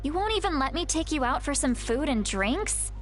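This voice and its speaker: A woman playing a kind, self-deprecating guide in a video game, gentle, wistful and generous. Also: sits high, sing-song, moderate pace. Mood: gently insisting. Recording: game music behind the voice.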